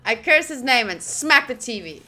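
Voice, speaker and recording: A woman streamer reading a visual novel's narration aloud during a playthrough, with expressive, gushing asides. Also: a little high, normal speed, soft background music. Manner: expressive, gushing asides between read passages.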